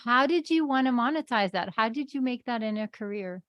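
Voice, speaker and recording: A woman's voice: on the high side, expressive, a little fast, no echo, lo-fi sound. A woman hosting an interview show, introducing and questioning her guest in an effusive, enthusiastic way. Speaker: host. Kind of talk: podcast interview.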